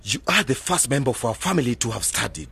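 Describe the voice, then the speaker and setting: An actor playing a stern, reproachful older uncle from a village in a radio drama, scolding and insistent. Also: male voice, mid-range voice, big pitch swings, fast talker, gravelly, pushed and bright, breathy edge, full character voice.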